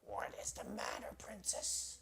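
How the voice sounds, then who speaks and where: A man voicing a timid, lonely frog in a storybook, with a scratchy, breathy croak and a halting, plaintive manner. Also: natural voice. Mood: timid, earnest. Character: timid, lonely icky male frog, earnestly bargaining. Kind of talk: storybook character voice.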